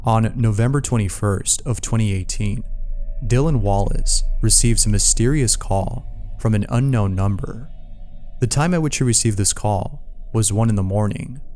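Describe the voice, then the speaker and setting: A man's voice: fairly low pitch, moderate pace, a little mellow. Male narrator of creepy stories over music. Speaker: narrator of a scary-story video. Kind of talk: scripted mystery narration.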